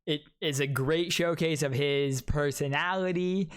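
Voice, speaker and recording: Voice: medium pitch, unhurried, smooth. A video host giving casual, enthusiastic fan commentary while reacting to trailers. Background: music from the trailers.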